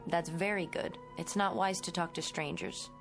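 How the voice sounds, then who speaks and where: An actress playing a kind, slightly uneasy schoolteacher in her twenties in a radio drama, talking in a gentle, coaxing way. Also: fairly low pitch, steady tone, normal speed, close to an everyday voice. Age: young adult. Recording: radio drama with prominent music underneath.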